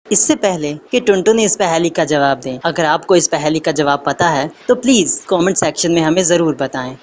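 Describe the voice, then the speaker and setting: A woman narrates a children's cartoon tale from a script. Her voice sits fairly low. A little noise runs behind it. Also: normal speed.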